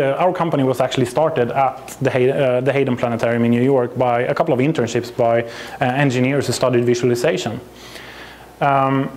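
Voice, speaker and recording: A man's voice: mid-range voice, a little mellow. Swedish-accented man giving a conference talk. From Sweden. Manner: chatty, self-deprecating, with frequent ums and restarts. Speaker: conference presenter. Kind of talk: conference presentation. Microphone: lapel mic.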